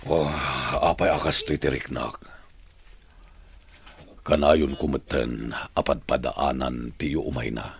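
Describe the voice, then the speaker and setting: A man playing a gruff, lovelorn older prisoner in a comedic radio drama, gravelly voiced, with a Filipino Ilocano accent. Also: steady tone, slow, pauses often, heavily acted. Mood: wistful, brooding. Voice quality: gravelly, very deep. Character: gruff older male prisoner, lovelorn and teasing, called uncle. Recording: faint music bed.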